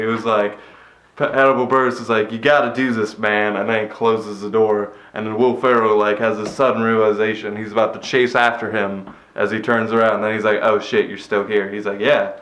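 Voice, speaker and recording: A man with a flat voice giving a rambling, cynical movie review, swearing casually, recorded in an empty room after a move. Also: on the low side, roomy sound.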